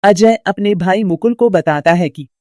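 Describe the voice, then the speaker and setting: A woman's voice: medium pitch, moderate pace, darkish tone, no echo. Female narrator for scripted storytelling; mid-range voice, recorded dry.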